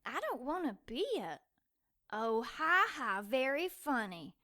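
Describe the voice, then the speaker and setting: An actress playing an excitable, sassy young woman in an audio drama, sarcastic and quick to take offense. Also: fairly high pitch, heavily acted. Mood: flustered, sarcastic. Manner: sarcastic, feisty, fast-reacting.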